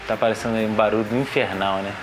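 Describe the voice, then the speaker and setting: A man's voice: lowish voice, fairly even intonation, fast talker, music in the background. A man with a Brazilian accent hosting an informal educational video as a psychologist, talking conversationally and directly to viewers. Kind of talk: informal talk to camera about emotions.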